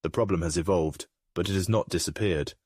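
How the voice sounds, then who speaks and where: A man playing a grave, remorseful aristocratic father in a comic dub, speaking in a formal, measured way. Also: low-pitched, darkish tone, husky, no room sound, studio quality. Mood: solemn, remorseful.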